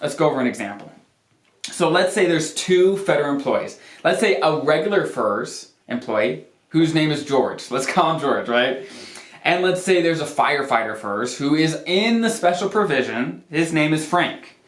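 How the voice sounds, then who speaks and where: A man's voice: fairly high pitch, very animated, moderate pace, a bit bright. An American man giving an explanatory talk as a financial advisor, repeating key words for emphasis and checking in with the listener. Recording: roomy, echoing indoor sound.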